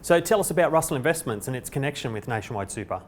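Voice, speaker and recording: A man's voice: medium pitch, lively intonation, very fast, a little hiss or hum. A man hosting a corporate interview, putting prepared questions to a guest. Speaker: interviewer, host.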